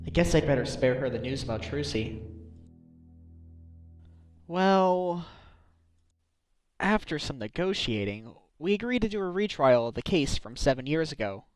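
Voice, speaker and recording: A man voicing a wry, steady male defense lawyer who makes dry, calm remarks. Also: fairly high pitch, measured pace, long pauses, no room sound, faint music behind. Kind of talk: fan dub voice acting.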